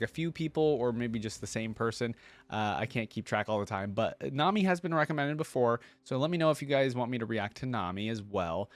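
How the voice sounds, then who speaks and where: A man's voice: mid-range voice, no room sound. A man giving casual, chatty and curious live reaction commentary as a video host.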